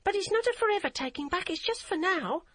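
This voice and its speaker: A woman's voice: very high voice, expressive, fairly quick, a bit bright, no room sound, clean studio sound, voice pushed up. A woman voicing an earnest, anxious eight-year-old girl who talks in an eager rush. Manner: eager, breathless rush of words.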